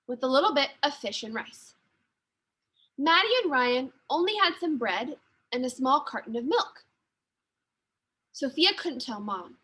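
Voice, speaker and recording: A woman teacher reading a children's story aloud with expressive, deliberate narration. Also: high voice.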